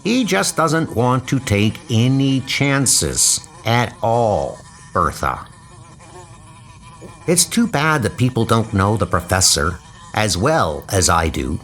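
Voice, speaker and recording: A man's voice: fairly low pitch, very animated, slow, a bit bright, voice pushed down, exaggerated voice. A man voicing an earnest, polite schoolboy insect collector in a comic book dub, speaking precisely. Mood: defensive.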